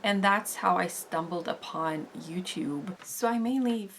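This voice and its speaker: A Norwegian woman in her thirties with a Norwegian accent, chatting casually on her beauty channel, unhurried and full of "like" fillers. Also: on the low side, slight background noise. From Norway. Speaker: beauty vlogger. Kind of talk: casual get-to-know-me chat.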